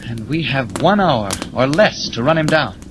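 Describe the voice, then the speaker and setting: An actor playing a brisk heroic newspaper reporter with a secret identity, in urgent 1940s radio serial style. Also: male voice, mid-range voice, expressive, natural voice. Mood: earnest, pressing. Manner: urgent, self-narrating, stammering when pressed. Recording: noisy vintage 1940s radio recording.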